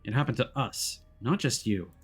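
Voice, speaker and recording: A man's voice: mid-range voice, expressive, moderate pace, breathy edge, faint music behind. A man voicing a concerned but firm woman teammate in a visual novel, earnest and reproachful. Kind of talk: visual novel character reading.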